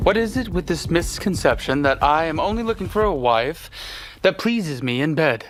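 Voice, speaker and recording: An actor playing a commanding, aloof duke in an audio drama, with a dry, curt, sardonic manner and music behind him. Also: male voice, fairly high pitch, expressive, moderate pace, natural voice, rough recording. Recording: music under the voice.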